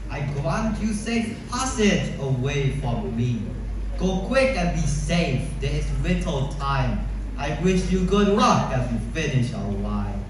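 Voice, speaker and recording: A teenage boy playing a grand cave guardian spirit in a school pantomime, declaiming rhymes slowly. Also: a little high, big pitch swings. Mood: solemn, grand. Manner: slow, declaimed rhyming verse. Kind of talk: school pantomime stage acting. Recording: echoey stage from far off, noisy.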